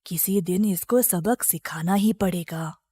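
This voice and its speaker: A woman's voice: lowish voice, a little slow, warm tone, breathy edge, no echo, studio quality, pitched below the performer's own voice. A woman voicing a humble, hardworking male donkey in a children's moral story. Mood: weary, resentful.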